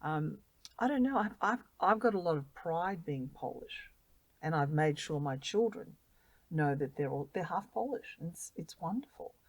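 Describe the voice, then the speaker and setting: Middle-aged aussie woman, low voice, earnest, stumbles over words a bit, dry close mic. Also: expressive, moderate pace, no room sound, low-quality recording. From Australia. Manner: earnest and heartfelt, repeats words as she thinks. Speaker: guest volunteer. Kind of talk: casual interview.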